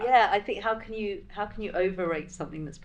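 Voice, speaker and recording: A woman's voice: mid-range voice, quick pace. A woman taking part in a casual panel chat as a podcast guest, speaking in a thoughtful, earnest way. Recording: clearly noisy background.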